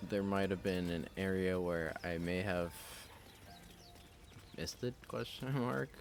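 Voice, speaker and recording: Deep-voiced, slightly raspy male gamer. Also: takes it slowly, background noise. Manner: loose and rambling, with many fillers. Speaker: host. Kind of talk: casual game commentary.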